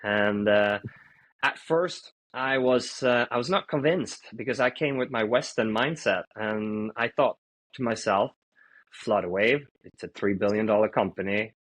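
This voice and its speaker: Low-voiced Swedish-born man telling his career. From Sweden. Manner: relaxed storytelling with frequent "uh" pauses. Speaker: guest, fintech founder. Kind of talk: casual interview answers.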